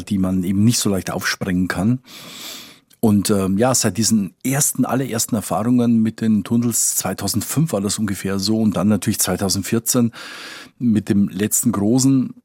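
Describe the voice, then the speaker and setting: A man with a husky voice explaining things conversationally as a correspondent in an interview, often repeating words as he goes. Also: on the low side, darkish tone, dry recording, studio quality. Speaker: foreign correspondent interviewed as expert.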